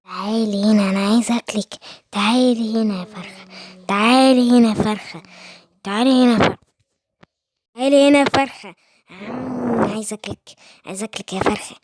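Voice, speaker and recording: A greedy cartoon camel demands his meal over and over, his whining climbing high and needy, as a woman acts him out. Egyptian accent, close and dry. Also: quick pace, long pauses, no room sound, close to an everyday voice. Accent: Egyptian Arabic. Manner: whining, insistent. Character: greedy hungry male camel.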